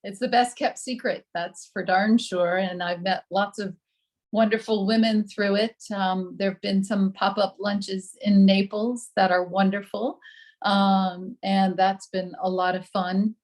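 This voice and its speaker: A woman in her forties introducing herself casually on a video call, talking in a relaxed way with many ums and pauses. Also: medium pitch. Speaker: new member introducing herself.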